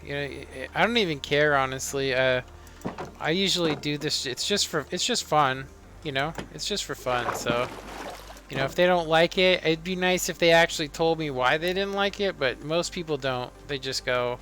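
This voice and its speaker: A man in his thirties, a live streamer chatting casually and rambling with lots of fillers in a monotone way. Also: a little high, moderate pace, slight background noise. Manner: relaxed, rambling, many fillers. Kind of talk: casual live-stream chat while playing. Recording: faint background music.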